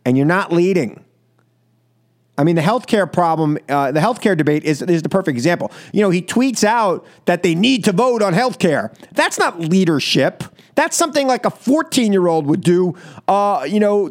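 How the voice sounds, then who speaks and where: High-pitched American man, scolding radio host. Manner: emphatic, scolding, speaks in short repeated phrases. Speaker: radio talk show host. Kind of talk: political opinion monologue on call-in radio.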